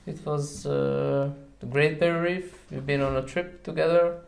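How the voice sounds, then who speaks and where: Creaky-voiced man with a Hungarian accent. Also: fairly high pitch, fairly even intonation, a little slow, slight background noise. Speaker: podcast guest. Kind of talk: casual conversational interview.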